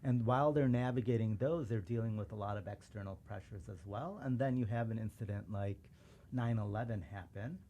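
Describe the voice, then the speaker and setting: A man from suburban Chicago with a mellow, relaxed tone, whose first language was Urdu. He talks as a podcast guest, earnest and reflective, with a faint hiss of background noise. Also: lowish voice, fairly quick. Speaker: podcast guest, civil rights lawyer. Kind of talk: casual interview chat.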